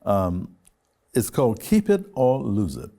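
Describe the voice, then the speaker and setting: A male television interview host with a mellow, relaxed timbre and a slightly low pitch. He puts his questions deliberately, in measured phrases, pausing between them. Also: gravelly.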